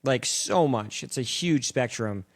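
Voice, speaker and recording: A man's voice: medium pitch, lively intonation, unhurried, dry recording, clean studio sound. A young man chatting casually as a podcast guest, using slang and swearing freely. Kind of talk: casual chat.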